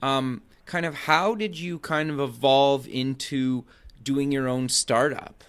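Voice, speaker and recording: A man hosting an interview show in a relaxed, conversational way. He fills his questions with "kinda" and answers with short "sure"s and "okays.". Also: mid-range voice, slow, smooth. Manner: filler-heavy, leans on "kinda" and "sure". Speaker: podcast host.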